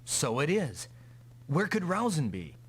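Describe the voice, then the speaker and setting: Level-headed mech pilot, expressive delivery. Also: male voice, fairly high pitch, a little slow, slightly rough, heavily acted. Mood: calm, matter-of-fact. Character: male mech pilot, calm, level-headed, determined soldier. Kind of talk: anime-style English video game dub.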